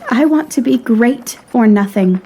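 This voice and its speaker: A woman's voice: a little high, expressive, measured pace, warm tone. A woman playing a proud, frank young lady in a period audio drama, speaking in a refined, articulate and earnestly reproachful way. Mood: proud, resigned.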